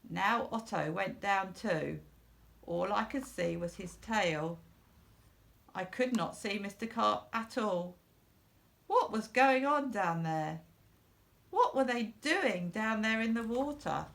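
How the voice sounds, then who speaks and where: A woman reading a children's story aloud in a deliberate, expressive narrating style. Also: medium pitch, gravelly. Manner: deliberate, expressive, with repeated phrases stressed. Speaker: storybook reader.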